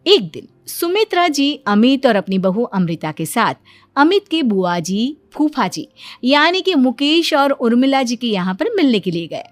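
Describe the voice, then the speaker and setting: High-voiced woman storyteller, close and dry. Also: very animated, a little slow, no echo, faint music behind. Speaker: narrator. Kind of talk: scripted storytelling for a moral cartoon.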